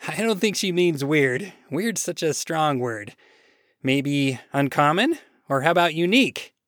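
Man doing Adam, an eager, high-pitched people-pleaser. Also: expressive, a little slow, a bit bright, no echo, clean studio sound. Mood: nervous, placating. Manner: gushing, placating. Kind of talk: short story read aloud.

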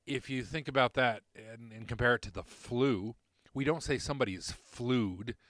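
A middle-aged man hosting a podcast alone, talking reflectively and haltingly, often repeating words and correcting himself. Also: medium pitch, fairly bright tone, dry recording, studio quality. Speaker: podcast host. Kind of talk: reflective solo podcast monologue.

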